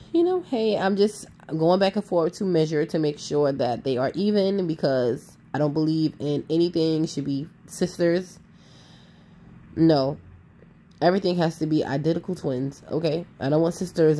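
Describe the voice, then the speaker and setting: A sassy woman in her thirties, nasal from a cold, narrating her own makeup tutorial. Her voice sits low and has a warm, relaxed tone. She speaks emphatically and talks to viewers like a close friend. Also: normal speed. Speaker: makeup artist.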